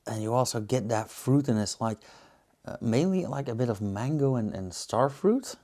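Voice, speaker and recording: A man with a Belgian accent giving casual, unscripted tasting commentary as a reviewer, pausing to muse and search for words. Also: medium pitch, lively intonation, dark tone. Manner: hesitant, musing, searching for words. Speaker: beer reviewer and host.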